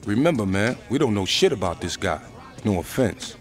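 Blunt wary gang member in a game cutscene, slangy, lively mid voice, faint music. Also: male voice, lowish voice, moderate pace. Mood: wary, challenging. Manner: slangy, streetwise. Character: wary, blunt male street gang lieutenant. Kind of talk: video game cutscene acting.

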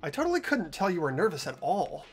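Man playing an earnest teenage boy, lively pitch. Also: fast, slightly rough, faint music behind, exaggerated voice. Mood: amazed, unsure. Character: earnest, anxious teenage boy, a hesitant student. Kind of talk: fan voiced visual novel playthrough.

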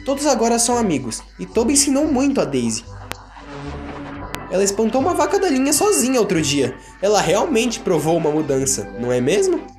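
A man's voice: sits high, huge pitch swings, brisk, darkish tone, soft background music. A man with a Brazilian accent narrating a scripted children's story.

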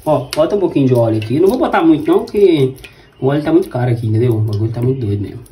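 A man's voice: mid-range voice, lively intonation, racing, dark tone. A man in his thirties with a Brazilian accent, chatting and joking in slang as he demonstrates home cooking to camera. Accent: Brazilian Portuguese. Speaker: home cook and vlog host. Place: small home kitchen, indoors. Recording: echoey kitchen, clattering dishes and noise.

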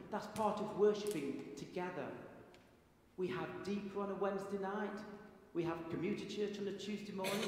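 Middle-aged guy preaching slow in a big stone church, long echo, voice a bit high. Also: a little mellow. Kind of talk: sermon. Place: large stone church with congregation. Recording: echoey church with long reverb.

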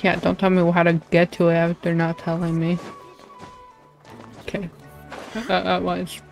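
A woman's voice: on the low side, completely flat delivery, moderate pace, vocal fry. A woman livestreamer giving casual, self-deprecating, grumbling commentary while she plays a game. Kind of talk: casual gaming stream commentary. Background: game music.

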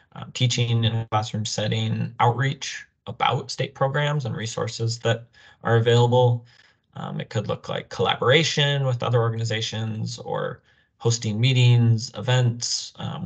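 Young male presenter for an online orientation, low-pitched, explanatory delivery on a video call. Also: creaky, husky. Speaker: program officer presenting a grant orientation. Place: indoor room, on a video call. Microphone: video call microphone.

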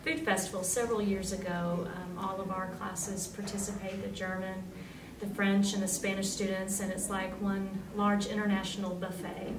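Teacher interview, woman, middle pitch, distant mic, room noise. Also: flat delivery, moderate pace, reverberant. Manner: explaining, with fillers. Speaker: foreign language teacher. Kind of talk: casual interview.

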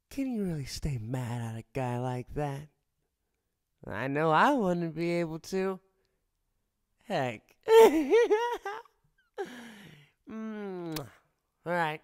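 A man's voice: on the high side, clean tone. A man playing a cocky, teasing fire-wielding boyfriend. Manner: teasing, playful, drops to close whispers. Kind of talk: ASMR boyfriend roleplay.

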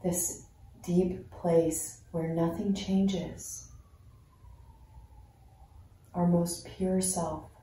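Very slow-spoken woman guiding meditation, echoey. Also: fairly low pitch, level tone, warm tone, a little hiss or hum. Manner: soothing, unhurried, with long pauses. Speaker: meditation guide. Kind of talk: guided meditation. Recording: very echoey, reverberant sound.

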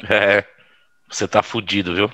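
A man's voice: lowish voice, fairly even intonation, takes it slowly, sharp tone. A man acting in an audio drama as an amused campaign colleague, talking in a casual, ironic, chuckling way. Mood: wry.

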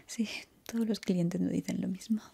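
A young adult woman with an accent from Spain whispers a soothing, unhurried ASMR barber roleplay in a breathy, reassuring way, close to the microphone. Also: on the low side, steady tone, mellow. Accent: Spanish, from Spain.

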